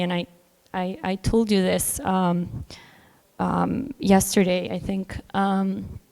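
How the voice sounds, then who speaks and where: A Bosnian woman novelist answering on a festival panel, thoughtful and hesitant, with many pauses and small laughs between points. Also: lowish voice, clear, resonant tone. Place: festival hall with long reverb. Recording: hall reverb, live panel.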